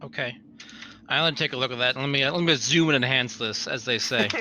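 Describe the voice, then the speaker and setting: Bright-voiced man playing a tabletop game. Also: mid-range voice, fairly quick, slight background noise. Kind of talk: casual in-game chat.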